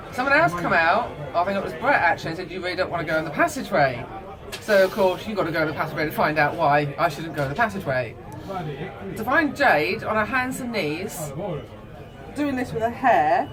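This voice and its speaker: A middle-aged woman telling family stories casually, animated and acting out what people said. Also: medium pitch. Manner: animated, acts out dialogue.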